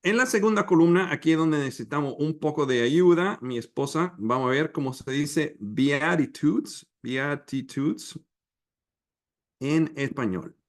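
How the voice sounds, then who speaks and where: A man whose first language is English, leading a Bible study in slow, halting Spanish with pauses and restarts over a video call. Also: fairly high pitch. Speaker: preacher.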